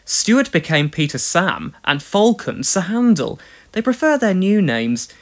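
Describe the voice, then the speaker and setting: A man narrates a children's storybook in an expressive read-aloud manner. His voice sits slightly high and moves widely in pitch as he tells the tale. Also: unhurried.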